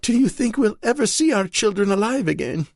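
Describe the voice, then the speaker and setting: A frightened, tearful wife in wartime, her voice pushed high and pleading, as a male reader puts her on. She sounds close and dry, with no room around her. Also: lively intonation, fairly quick, gravelly, darkish tone, no echo, exaggerated voice. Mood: fearful. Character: frightened middle-aged woman in wartime, tearful.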